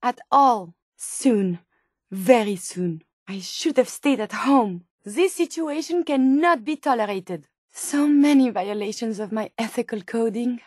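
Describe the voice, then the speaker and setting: Game role: earnest female robot scientist, French accent, high register, precise formal diction, very dry sound. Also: lively intonation, unhurried, darkish tone, husky, no room sound. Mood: indignant, hurt. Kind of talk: video game companion dialogue.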